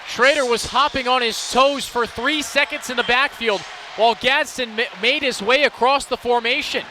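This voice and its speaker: A man's voice: very high-pitched, moderate pace, background noise. A young man giving live radio football analysis, explaining and recapping plays in descriptive phrases. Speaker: color analyst.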